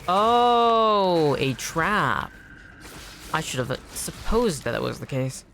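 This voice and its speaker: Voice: mid-range voice, creaky, fairly bright tone, slight background noise. A streamer whose first language is Anishinaabemowin, chatting casually with viewers while playing, swearing and yelping at enemies mid-sentence. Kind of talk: casual gaming stream chat.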